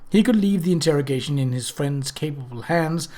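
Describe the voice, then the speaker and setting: A man reading a scripted story aloud as an audiobook narrator, with an expressive, dramatic delivery. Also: on the high side, a little fast. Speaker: audiobook reader.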